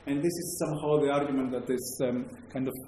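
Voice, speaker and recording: A man with a Greek accent lectures to an audience, pausing often on fillers and restarting his sentences. His voice is mellow and dark. It rings out in a very echoey room over a faint hum of background noise. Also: medium pitch, steady tone. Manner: hesitant, many fillers and restarts. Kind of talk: academic talk to a live audience.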